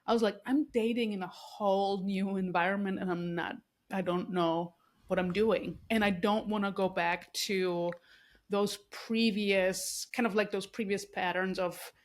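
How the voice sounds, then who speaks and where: A woman's voice: medium pitch, steady tone, unhurried. Austrian-born woman hosting a podcast. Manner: warm, effusive, many restarts and fillers. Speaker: podcast host. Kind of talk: casual interview conversation.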